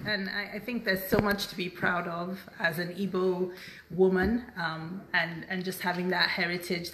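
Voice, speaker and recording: A woman hosting a podcast in casual conversation, enthusiastic and quick to affirm with short interjections. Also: mid-range voice, pushed and bright, a little hiss or hum. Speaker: podcast host. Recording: roomy, echoey sound.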